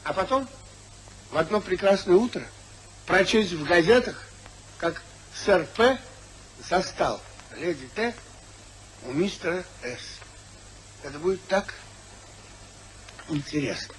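An actor playing a testy, wounded old husband in a 1950s radio comedy of manners, grumbling with bitter theatrical sarcasm. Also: male voice, high-pitched, lively intonation, slow, pauses often, a bit bright, a little hiss or hum, heavily acted, low-quality recording. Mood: bitterly sarcastic. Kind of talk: 1950s Soviet radio play acting.

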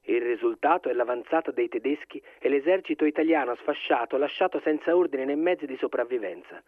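An actor playing a grave wartime radio chronicler, reading scripted history in a declamatory way through a radio filter. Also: male voice, mid-range voice, fairly quick, no room sound. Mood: grave, formal. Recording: thin phone-like radio sound, very dry.

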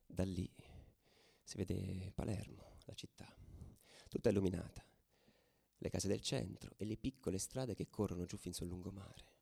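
A man acting a fervent football ultra in a theatrical monologue, telling his story in an intense, deliberate way with repeated phrases. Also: low-pitched, fairly even intonation, creaky, breathy edge, exaggerated voice. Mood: tense, vivid. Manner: intense, deliberate, repeats phrases, swings between hushed and shouted. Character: fervent male football ultra recounting riots and away trips. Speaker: stage actor in a radio theatre piece. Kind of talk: dramatic first-person theatrical monologue.

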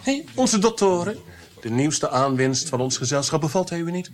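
An actor playing a jealous, tyrannical Renaissance prince in a radio drama, theatrical and mocking, moving between hushed menace and outbursts. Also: male voice, fairly high pitch, big pitch swings, normal speed. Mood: mocking, provoking.

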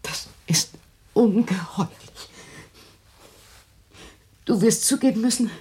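A woman's voice: a little high, takes it slowly, dark tone, heavily acted. An actress playing an anxious, controlling older mother in a radio drama, speaking in a stern, agitated, evasive way. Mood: indignant. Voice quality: husky, breathy. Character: anxious, controlling elderly mother guarding her grown daughter. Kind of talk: German radio drama acting.

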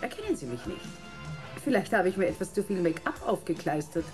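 A woman's voice: on the low side, very animated, brisk. An actress playing a bold, commanding woman who is no longer young, in a German radio crime drama. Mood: commanding, taunting. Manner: commanding, sharp orders. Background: music.

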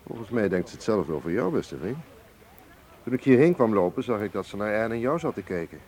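An actor in a radio play voicing a suave, gallant but guarded Russian museum man, speaking in an urbane, teasing, deliberate way. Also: fairly low pitch, very animated, a little mellow. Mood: wry, cautioning. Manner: urbane, teasing, deliberate, with small questioning hums. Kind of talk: radio drama acting. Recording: noisy radio play recording.

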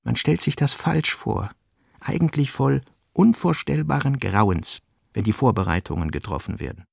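Male narrator, mid-pitched with creaky grain, dry studio sound, measured delivery of literary fragments. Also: animated, no room sound. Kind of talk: literary radio drama narration, reading fragmentary notes.

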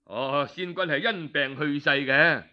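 A man's voice: a little high, unhurried, lo-fi sound, close to an everyday voice. A man with a Cantonese accent playing a sly, nervous courtier in a story, stammering evasive excuses.

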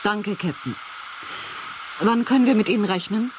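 A brisk, authoritative station leader played by a woman in a science fiction radio play. She speaks at a medium pitch with polite determination, over clearly audible background noise. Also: natural voice. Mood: businesslike, polite. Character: commanding, determined female research station project leader.

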